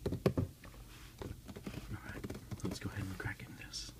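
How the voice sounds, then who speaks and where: A soft-spoken man hosting a casual ASMR unboxing, hushed and often slipping into whispers. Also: slow. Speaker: unboxing video host.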